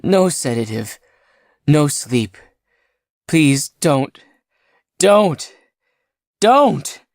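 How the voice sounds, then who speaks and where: A storyteller voicing a desperate stranded old man who shrieks in a high, strained voice. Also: very animated, very slow, no echo, studio quality, full character voice. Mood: frantic pleading.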